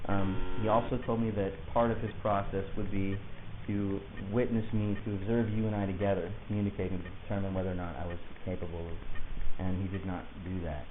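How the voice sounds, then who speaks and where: A man's voice: low voice, little pitch movement, brisk. Insistent man on hissy phone-like audio. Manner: insistent, articulate, detailed arguing. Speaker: client of a public defender. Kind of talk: argumentative conversation. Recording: hissy, muffled phone-like audio, echoey room.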